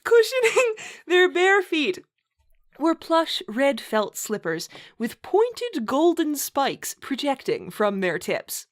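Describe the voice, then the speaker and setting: High, very animated woman reading aloud. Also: slow pace. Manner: giggly, often breaking into laughter while reading. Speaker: reader of a bad fantasy story. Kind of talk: dramatic read-aloud with amused asides.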